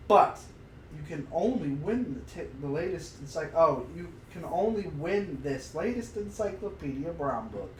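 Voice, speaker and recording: Man in a roomy, noisy, distant recording. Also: high-pitched. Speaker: podcast co-host. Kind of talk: casual chat and reading aloud from a book. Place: untreated room, podcast recorded on a live stream. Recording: roomy, distant mic with noticeable noise.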